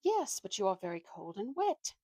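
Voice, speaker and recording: A woman's voice: mid-range voice, very animated, normal speed, darkish tone, no room sound, studio quality. A woman voicing a manipulative, self-pitying male talking ape in a storybook, with a bossy, wheedling, indignant manner. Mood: coaxing.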